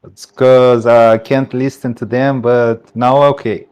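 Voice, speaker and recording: A young Brazilian man with a Brazilian Portuguese accent, racing winner giving a post-race interview over a headset, searching for words in halting English. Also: mid-range voice, flat delivery, darkish tone, smooth. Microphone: headset over voice chat.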